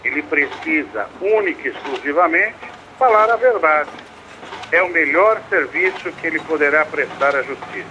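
Radio soap lawyer: Brazilian, formal, didactic, forceful tone, animated, slight noise behind. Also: male voice, a little high, moderate pace, low-quality recording. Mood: instructive. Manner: emphatic, didactic. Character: confident, formal lawyer giving firm advice. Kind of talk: radio soap opera acting.

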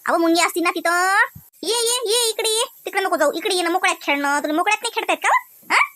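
A woman's voice: very high-pitched, quick pace, bright, forceful tone, a little hiss or hum. A woman voicing a chatty, homely wife and mother in a cartoon, speaking. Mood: playful scolding. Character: chatty homemaker wife and mother, fond, mildly grumbling. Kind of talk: conversational cartoon dialogue.

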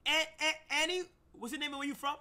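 Bright-voiced male stream host calling names. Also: very high-pitched. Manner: loud, rapid roll-call questions. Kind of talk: casual livestream hosting.

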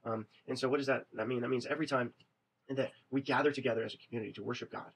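A man in his thirties giving a recorded webcam lecture on religion, talking quickly and conversationally and often checking in with "right?". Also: medium pitch, fairly even intonation, creaky, husky, low-quality recording. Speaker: religion teacher. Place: small indoor room. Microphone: webcam at desk.

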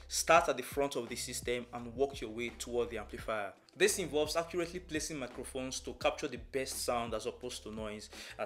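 A young man presenting an instructional tutorial in a measured, step-by-step manner. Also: medium pitch, a bit bright. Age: young adult. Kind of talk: instructional explanation to camera. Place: small furnished room indoors.

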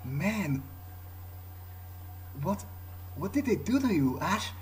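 A man's voice: high voice, big pitch swings, slow, a little mellow, a little hiss or hum. A man doing in-character roleplay commentary over a video game, thinking aloud and often repeating words hesitantly. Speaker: gaming video player.

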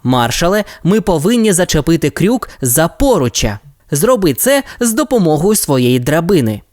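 A woman voicing a calm young boy who leads a team of rescue pups, reassuring and commanding. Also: low voice, moderate pace, no room sound, clean studio sound, voice pushed up.

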